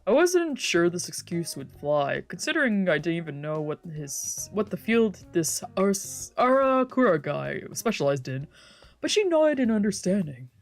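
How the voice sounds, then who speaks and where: A woman's voice: lowish voice, big pitch swings, moderate pace, soft background music. A woman streamer reading a visual novel aloud in a playful, theatrical way with casual asides. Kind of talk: let's play read-aloud with casual asides.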